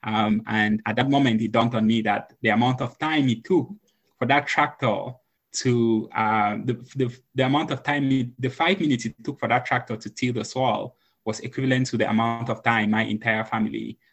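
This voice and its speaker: A man's voice: medium pitch, fairly even intonation, normal speed, husky. A man from Cameroon, a podcast guest, telling his story earnestly with many filler ums. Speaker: podcast guest, nonprofit founder. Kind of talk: conversational storytelling.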